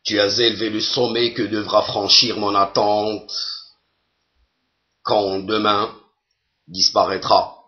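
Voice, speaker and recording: A man giving a solemn poetry recitation in a measured, deliberate way, pausing between phrases. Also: fairly low pitch, flat delivery, highs cut off.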